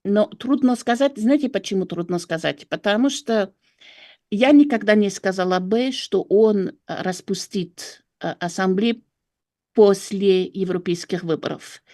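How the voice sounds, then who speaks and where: A woman's voice: medium pitch, unhurried, mellow, no room sound, studio quality. A woman from France with a French accent gives political analysis as an interview guest, searching for words and correcting herself. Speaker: political commentator and writer.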